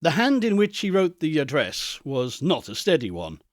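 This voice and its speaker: A man narrating a Victorian story as a theatrical, expressive audiobook reader. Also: a little high, moderate pace, dry recording, studio quality.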